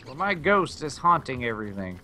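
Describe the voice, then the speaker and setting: A man's voice: mid-range voice, very animated, a little fast, very noisy. A man in a casual gaming chat, bantering in a playful, silly way with his co-op partner.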